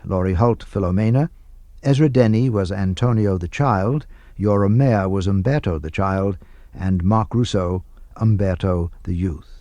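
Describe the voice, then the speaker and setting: A man reads formal radio narration in a lowish, very mellow voice. The sound is close and dry, and his delivery is measured. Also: no room sound. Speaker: radio announcer and translation reader.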